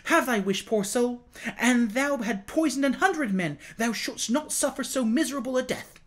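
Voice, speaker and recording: A man's voice: sits high, wildly swooping pitch, measured pace, voice pushed up, exaggerated voice. A man voicing a compassionate young boy king in an audiobook, speaking earnestly in archaic phrases. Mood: amazed pity. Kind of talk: dramatized audiobook reading.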